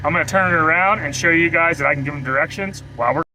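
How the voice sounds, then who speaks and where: A man with a slightly high, very bright and forceful voice talks casually to viewers as he demonstrates gear in an outdoor vlog. There is light background noise behind his informal delivery. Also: very fast, rough recording. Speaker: product reviewer and host. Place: outdoors, partly riding in a vehicle.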